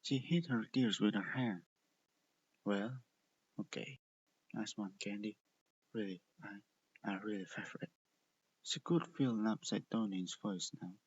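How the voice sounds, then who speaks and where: A man reading a fan fiction story aloud as an expressive narrator. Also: medium pitch, creaky, husky. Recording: dull lo-fi close recording.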